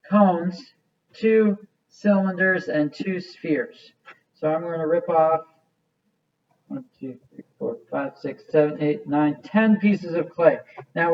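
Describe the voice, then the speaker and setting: A man giving a casual, step-by-step clay modeling lesson with many fillers, recorded from some distance. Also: fairly high pitch. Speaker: art teacher. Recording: muffled sound from a distant mic.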